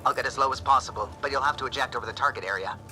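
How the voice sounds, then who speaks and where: An actor playing an alert, businesslike starship pilot in a sci-fi game, with brisk, matter-of-fact delivery. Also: male voice, medium pitch, over music, lightly acted. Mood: tense, businesslike. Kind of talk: scripted video game acting.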